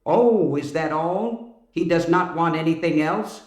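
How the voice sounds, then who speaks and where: A man playing a brisk, skeptical opera house director in an audio drama, theatrical and questioning in his delivery. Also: on the high side, reverberant, close to an everyday voice. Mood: sarcastic.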